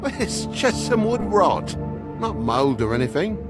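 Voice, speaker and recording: Grumpy British toad dad, video game, very animated, slangy and defensive. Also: male voice, a little high, unhurried, over loud music. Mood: defensive, irritated. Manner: blustering, rambling, defensive, slangy. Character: grumpy, evasive, chatty toad father, a working-class fable.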